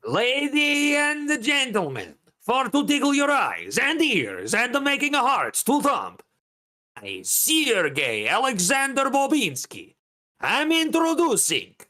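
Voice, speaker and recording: A flamboyant ringmaster with a thick Russian accent. The delivery is very slow and drawn out, bright and forceful, swooping theatrically as if announcing the show. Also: high-pitched, wildly swooping pitch, full character voice. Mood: grandiose. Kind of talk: theatrical announcing in a screenplay table read.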